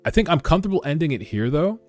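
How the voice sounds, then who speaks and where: A man giving animated, slangy, sometimes profane reaction commentary as host of a read-along. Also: a little high, quick pace. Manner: casual, slangy, profane, excitable. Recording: background music under voice. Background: music.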